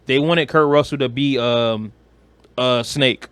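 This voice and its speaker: A man's voice: medium pitch, slow, fairly bright tone, clear, resonant tone, no echo. A man co-hosting a podcast, chatting casually in a slangy, conversational way. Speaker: podcast co-host. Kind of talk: casual chat about video games and film.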